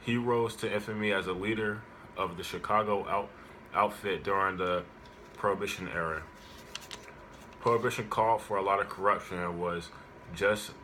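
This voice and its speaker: A man with a low, bright-edged voice delivers a prepared informative speech. He reads it aloud in halting, broken phrases, with some background noise around him. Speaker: student speaker giving an informative speech.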